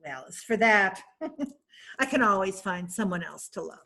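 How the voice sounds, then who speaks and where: A woman's voice: mid-range voice, lively intonation, measured pace, vocal fry, bright, forceful tone, exaggerated voice. A woman reading the part of a carefree, coquettish young woman who talks in an airy, assured, playful way. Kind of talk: readers theatre reading.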